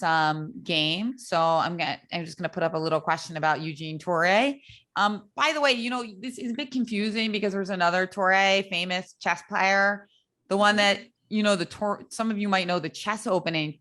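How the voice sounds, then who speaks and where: A woman's voice: medium pitch, moderate pace, pushed and bright. A woman hosting an online girls' chess class over a video call, encouraging the students and steering the session. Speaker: chess club host.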